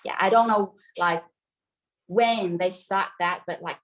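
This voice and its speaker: A woman's voice: on the low side, measured pace. A woman from central Vietnam, a native Vietnamese speaker with a central Vietnamese accent, teaching a cooking class informally in simple non-native English with dropped words. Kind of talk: informal live cooking instruction.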